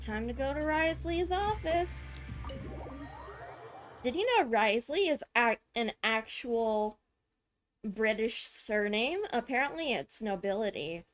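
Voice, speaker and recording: Woman game streamer, high lively voice, informal and thinking out loud, game music behind. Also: a little slow. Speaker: gaming streamer. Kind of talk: casual gameplay reaction commentary. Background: video game music.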